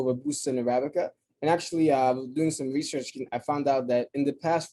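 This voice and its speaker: A man's voice: mid-range voice, mellow. A man in his thirties, from DR Congo, explaining his coffee business in a casual interview, thoughtful and prone to restarts. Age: 30s. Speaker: coffee importer guest. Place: New York City.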